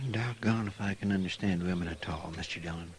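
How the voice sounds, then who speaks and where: A man's voice: lowish voice, lively intonation, normal speed, natural voice. An actor with a rural American accent playing a homespun, good-natured lawman's sidekick in a radio western, plainspoken and deferential. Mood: puzzled, low. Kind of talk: mid-century American western radio drama acting. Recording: noisy vintage radio recording.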